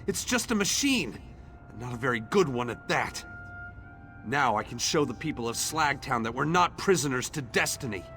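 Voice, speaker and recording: A man acts out an earnest young townsman from a fantasy video game, hopeful and grateful, set on defying fate. His voice sits at a middling pitch and has a declamatory streak. Music plays softly behind him. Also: big pitch swings, moderate pace. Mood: delighted. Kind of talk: scripted video game dialogue. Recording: background music under the voice.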